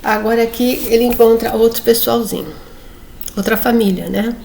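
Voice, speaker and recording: Woman, gravelly fry, casual chatty presenter, faint hiss behind. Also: on the high side, steady tone, normal speed, darkish tone. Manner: chatty, addresses viewers, frequent tag questions. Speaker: book reviewer. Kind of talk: casual presentation reading comic excerpts aloud.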